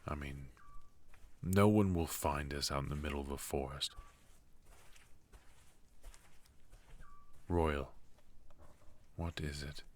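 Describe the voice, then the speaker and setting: Man playing a very deep-voiced, brooding knight. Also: fairly even intonation. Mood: weary, resolved. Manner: halting, earnest, hushed delivery. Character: brooding, protective male knight devoted to an outlawed royal. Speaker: voice actor. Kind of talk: intimate ASMR roleplay acting.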